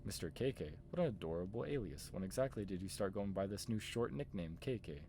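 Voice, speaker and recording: Man reading as a teasing low-voiced woman investigator. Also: animated, fast talker, darkish tone, slight background noise. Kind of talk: reading visual novel dialogue aloud.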